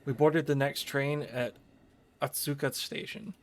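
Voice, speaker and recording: A man's voice: medium pitch, measured pace. A man reading and talking through a visual novel on a livestream. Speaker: streamer.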